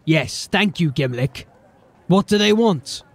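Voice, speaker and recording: Grim, gravelly city watchman, acted by a man. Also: high voice, expressive, takes it slowly, full character voice. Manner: terse, commanding. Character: grim, determined male city watch officer. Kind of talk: fantasy audio drama acting.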